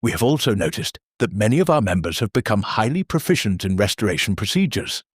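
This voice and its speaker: An actor playing an earnest, formal church meeting chairman who speaks in a measured, bureaucratic deadpan. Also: lowish voice, husky, no echo, studio quality. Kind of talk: audio drama acting.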